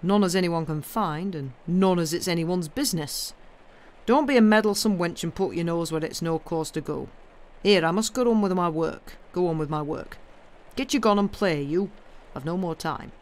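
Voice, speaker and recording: A narrator putting on a gruff old moor man. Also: mid-range voice, normal speed, close to an everyday voice. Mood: grumpy, dismissive. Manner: gruff, blunt, grumbling. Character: surly, blunt old Yorkshire gardener man.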